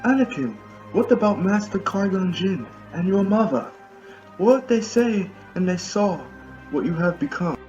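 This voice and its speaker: A man's voice: high voice, animated, measured pace, a little mellow, highs cut off, pitched above the performer's own voice. A man voicing an earnest male Jedi master who pleads with and reasons with his fallen student. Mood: urging. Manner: urgent, reasoning. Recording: echoey room with music behind.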